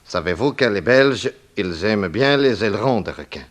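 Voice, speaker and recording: A man's voice: on the low side, animated, moderate pace, natural voice. An actor playing a genial, chatty Belgian man with a Belgian French accent and folksy tag words, in a 1960s French radio play. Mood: jovial, matter-of-fact. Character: genial, chatty Belgian armless former ink maker.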